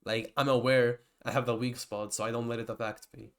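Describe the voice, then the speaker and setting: A man's voice: medium pitch, flat delivery, fairly quick. A young man, a native Georgian speaker, giving a rambling, casual lecture with many restarts and digressions. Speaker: typology lecturer.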